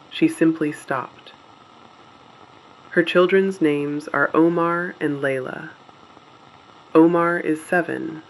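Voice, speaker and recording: A grave resistance broadcaster played by a voice actor reads out the missing in a dystopian audio drama. The delivery is very slow and deliberate, with a medium-pitched voice and solemn restraint. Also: poor audio.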